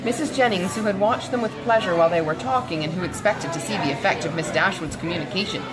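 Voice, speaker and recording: A woman's voice: on the low side, quick pace, rough recording. A woman reading a classic novel as scripted audiobook narration. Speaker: audiobook reader. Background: music.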